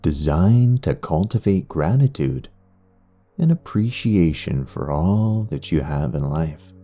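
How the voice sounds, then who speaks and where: Man, guided meditation narration, low mellow voice, soothing delivery, music underneath. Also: lively intonation. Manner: soothing, unhurried, with long pauses. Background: background music.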